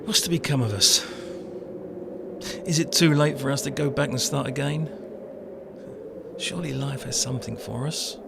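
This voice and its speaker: An actor playing a disillusioned railway worker and musician of nearly fifty in a comic drama, gravelly and colloquial. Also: male voice, medium pitch, normal speed, long pauses, noisy background. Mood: wistful, despairing. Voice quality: creaky, gravelly. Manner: colloquial, world-weary, swears casually. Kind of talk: dramatic dialogue in an audio-led motion comic.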